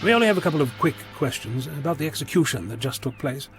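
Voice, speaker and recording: A man acting in an audio drama as an eccentric, self-assured time traveller, wordy, breezy and gently lecturing. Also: fairly high pitch, lively intonation, quick pace, music in the background. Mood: measured, curious.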